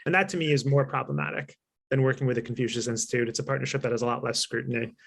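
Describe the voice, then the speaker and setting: A man giving measured, articulate, opinionated answers as a guest author and consultant in an online talk. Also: medium pitch, fairly even intonation, creaky, breathy edge. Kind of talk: explanatory interview answers at a virtual talk.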